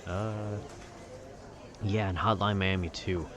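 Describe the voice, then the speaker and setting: A man in a calm, casual, nerdy ramble about video games, meandering and often interrupting himself. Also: sits low, slow, background noise. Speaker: solo audio creator rambling to the listener. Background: urban ambience.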